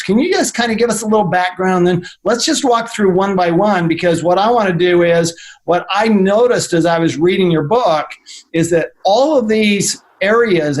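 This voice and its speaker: A man with a fairly high speaking voice hosts an interview over a call. He rambles a little, restarting phrases and padding with fillers as he builds up his guest. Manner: halting, many fillers and restarts. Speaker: host. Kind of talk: interview podcast. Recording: remote call.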